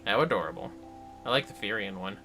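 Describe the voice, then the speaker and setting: A man's voice: fairly low pitch, quick pace, sharp tone, faint music behind. A man hosting a video game livestream with casual, playful chatter and muttered asides to the game. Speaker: livestream host playing an online video game. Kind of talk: casual gaming livestream chat.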